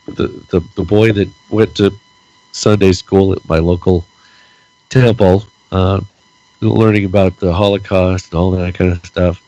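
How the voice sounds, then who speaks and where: Low-voiced man ranting, voice going hoarse. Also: no room sound, highs cut off. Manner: animated, repeats words while ranting. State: losing his voice, stuffy nose. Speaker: podcast co-host. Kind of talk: casual political chat.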